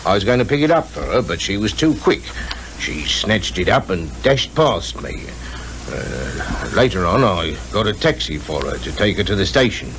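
An actor playing an obliging, plain-spoken hotel porter with a British accent, in a 1950s radio drama. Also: male voice, lowish voice, big pitch swings, moderate pace, a bit bright, low-quality recording. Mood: earnest, helpful. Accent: British English. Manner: plain-spoken, deferential, step-by-step telling. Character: observant, obliging male hotel porter giving his account. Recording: 1950s radio recording with faint noise.

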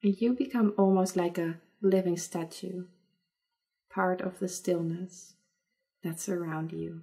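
Female meditation guide: mellow, very even delivery, soothing, leaving long pauses. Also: lowish voice.

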